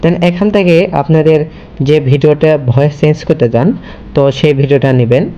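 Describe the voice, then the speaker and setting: Higher-pitched, mellow male tutorial host. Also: brisk, highs cut off, slight background noise. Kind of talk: casual step-by-step screen tutorial.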